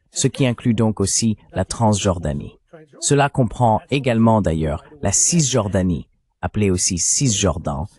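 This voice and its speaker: Voix d'homme grave, posée et explicative. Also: male voice, fairly low pitch, a little mellow. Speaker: translation voice-over. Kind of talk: measured explanatory voice-over of an interview.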